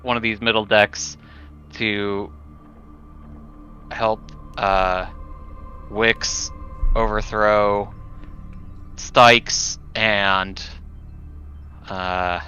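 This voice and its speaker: Slow, bright-voiced man, hesitant. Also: fairly low pitch, level tone. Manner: hesitant, halting. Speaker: tabletop game player. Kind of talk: casual in-game planning chat. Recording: dull, muffled lo-fi audio, faint music.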